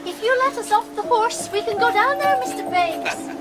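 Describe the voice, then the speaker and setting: A woman acting an eager, chatty young girl in a period radio drama, childlike, excitable and outspoken. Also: very high-pitched, normal speed. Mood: playful.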